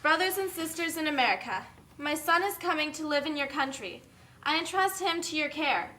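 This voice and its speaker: A woman's voice: sits high, animated, moderate pace, pushed and bright, a little hiss or hum. A woman playing an anxious, loving mother from a poor family in a puppet show, speaking in a pleading way. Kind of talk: scripted puppet show acting.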